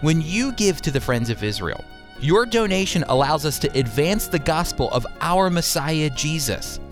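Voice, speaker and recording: A man's voice: fairly high pitch, very animated, moderate pace. Earnest male radio teacher, faint music. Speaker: radio host and Bible teacher. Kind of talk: radio ministry talk.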